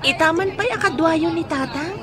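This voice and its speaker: A dutiful, helpful young female student in a radio drama. Her voice is high, with an Ilocano accent. A bustling crowd is heard behind her. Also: moderate pace. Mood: respectful, eager.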